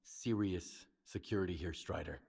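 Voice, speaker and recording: A man playing a plain-spoken, low-key loner stalker in a game. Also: normal speed, gravelly, darkish tone, husky, heavily acted. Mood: matter-of-fact.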